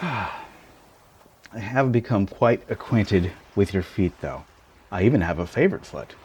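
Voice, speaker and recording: A man's voice: lowish voice, expressive, measured pace, darkish tone, slight background noise. A man playing a tiny shrunken boyfriend in an intimate ASMR roleplay, playful, teasing and doting, with theatrical exclamations. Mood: tender, dreamy.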